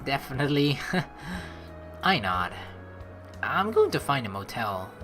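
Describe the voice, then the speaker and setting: A woman playing through a game as host, reading its text aloud and chatting with playful, expressive commentary. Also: very deep voice, slow pace, over music. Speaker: let's play host.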